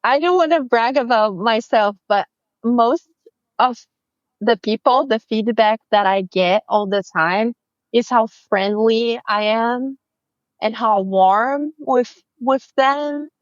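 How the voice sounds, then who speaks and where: Chatty Brazilian-accented woman, slow talker. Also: a little high, no room sound, highs cut off. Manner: friendly, chatty, many fillers and restarts. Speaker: family photographer, guest. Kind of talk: casual interview chat.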